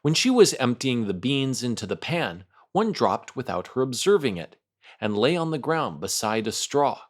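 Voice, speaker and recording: A man narrates a children's tale in an expressive read-aloud style. His mid-pitched voice moves animatedly, and his phrasing is careful and theatrical. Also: normal speed. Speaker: storyteller.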